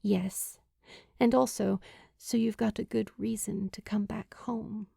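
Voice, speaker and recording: A woman's voice: mid-range voice, fairly even intonation, creaky, mellow, breathy edge, dry recording, clean studio sound, exaggerated voice. A woman voicing an earnest, caring teenage girl in a story, insistent yet easily flustered. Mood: quiet, tender. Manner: insistent, halting when flustered.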